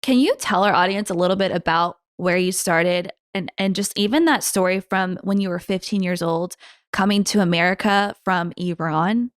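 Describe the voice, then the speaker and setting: A woman's voice: lowish voice, moderate pace, no echo, clean studio sound. Bubbly, slightly nasal woman host. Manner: bubbly, chatty. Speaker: podcast host. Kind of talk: casual chat.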